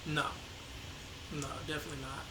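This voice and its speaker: A man's voice: fairly high pitch, flat delivery, a little fast. A young man talking casually as a guest, hesitant and laid-back, restarting his sentences often. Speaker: guest, the host's son. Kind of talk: casual conversation. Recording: echoey room with faint noise.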